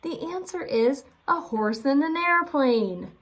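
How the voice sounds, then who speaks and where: A woman voicing an exasperated, bossy male bear from a children's storybook, indignant and prone to shouting. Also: high voice, animated, takes it slowly, pitched above the performer's own voice, exaggerated voice.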